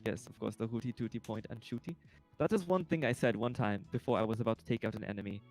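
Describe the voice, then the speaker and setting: A man's voice: on the low side, quick pace, a little mellow, faint music behind, close to an everyday voice, rough recording. A man voicing a quirky character in a made-up blend of German and Swedish with a Finnish lilt, with a bouncy cadence and line endings that sound like questions. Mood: goofy. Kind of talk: goofy one-liners on a livestream.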